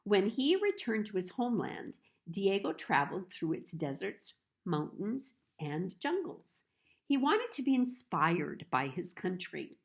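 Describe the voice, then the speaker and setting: A woman reading a children's picture book aloud in a storytelling style, with deliberate, expressive phrasing. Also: medium pitch, gravelly.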